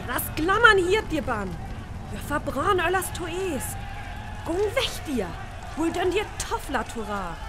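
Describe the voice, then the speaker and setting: A woman's voice: extremely high pitch, very animated, a little slow, music in the background, heavily acted. A woman playing an alarmed bystander who calls out urgently in a radio play. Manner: urgent, calling out. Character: alarmed female bystander warning people back. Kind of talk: radio play crowd acting.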